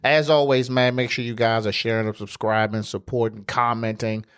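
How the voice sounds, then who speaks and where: A man, hosting a casual solo sports podcast in his home studio, stuffy and congested, talking in a relaxed, slangy way. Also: mid-range voice, normal speed, smooth. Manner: relaxed, conversational, loose slang. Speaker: podcast host.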